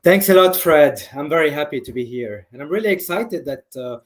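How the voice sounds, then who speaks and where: A man's voice: a little high, expressive, fairly quick. A man from a Lebanese family who grew up in several countries, giving enthusiastic, conversational answers as a medical expert guest. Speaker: nephrologist, interview guest.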